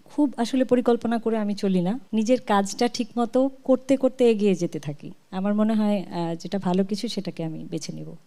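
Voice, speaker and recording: A woman's voice: mid-range voice, fairly even intonation, normal speed, warm tone. A woman, a singer answering as a studio guest in a modest, slightly hesitant conversational way. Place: television studio.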